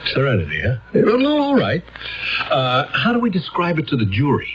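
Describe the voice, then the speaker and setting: Sardonic, very animated sceptical counsel. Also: male voice, a little high, moderate pace. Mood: sarcastic. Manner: sarcastic, incredulous, conversational. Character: sardonic, exasperated, disbelieving man, a legal counsel. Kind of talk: dramatic radio acting. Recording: dull old radio recording, background noise.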